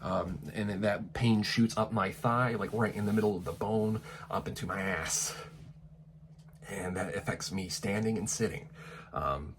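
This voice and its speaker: A man's voice: fairly low pitch, unhurried, slight background noise. A man vlogging candidly, a bit stuffy from a cold, talking frankly with lots of swearing and restarts. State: stuffy, getting over a cold. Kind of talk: candid personal vlog rant. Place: apartment in Tokyo.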